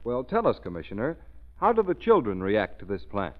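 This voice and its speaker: Brisk, animated American radio announcer, male. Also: fairly low pitch. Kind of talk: polished 1930s radio announcing. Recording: muffled old radio broadcast recording.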